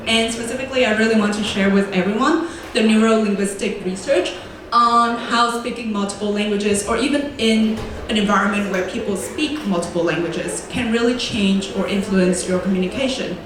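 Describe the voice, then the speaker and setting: A young woman, a native Vietnamese speaker, gives a prepared student presentation in a clear, deliberate way and slows down to stress key words. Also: medium pitch, a little hiss or hum. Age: young adult. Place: large echoey lecture room. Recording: very echoey room, voice from far off.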